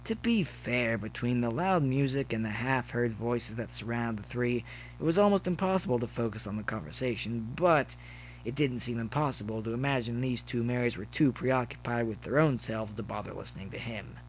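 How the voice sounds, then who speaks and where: A man's voice: mid-range voice, moderate pace, rough recording. A man reading scripted story narration as the narrator. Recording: faint noise behind the voice.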